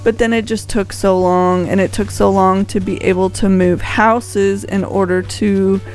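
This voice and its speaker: A woman's voice: mid-range voice, fairly even intonation, unhurried, a little mellow, soft background music. A woman giving casual, unscripted gameplay commentary as a video host, rambling conversationally and thinking aloud. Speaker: video host showing a game island.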